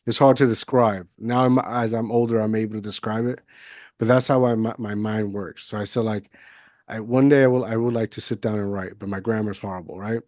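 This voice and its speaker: A man's voice: fairly low pitch, steady tone, fairly quick, a little mellow, no echo, clean studio sound. A man in his thirties from Puerto Rico, hosting a podcast interview in a loose, rambling way with many fillers and restarts. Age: 30s. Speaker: podcast host. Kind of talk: casual conversational interview. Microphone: budget USB mic, video call.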